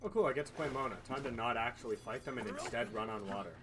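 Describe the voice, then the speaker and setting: A woman's voice: very deep voice, fast talker. A woman doing casual, slangy live gameplay commentary as a game streamer. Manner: slangy, swearing.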